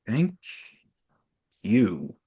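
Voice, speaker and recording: A man's voice: lowish voice, huge pitch swings, mellow, husky, dull audio, heavily acted. A man playing a sheepish, smitten young man in a sitcom table read, speaking hesitantly. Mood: sheepish, grateful. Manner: hesitant, halting delivery.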